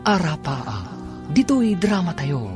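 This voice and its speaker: A man's voice: on the high side, big pitch swings, takes it slowly. A man delivering dramatic scripted narration and announcements for an Ilocano radio drama. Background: music.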